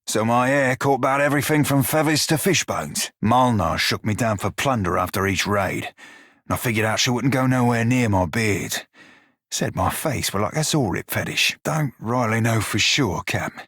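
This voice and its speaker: Salty, low-voiced pirate shiphunter. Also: male voice, animated, moderate pace, fairly bright tone, slightly rough, dry recording, studio quality. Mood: wry, warm. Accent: stylized pirate dialect. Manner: colloquial, folksy, archaic sailor's turns of phrase. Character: salty blue-furred male pirate shiphunter, irreverent, gruff but soft-hearted. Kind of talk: video game character dialogue.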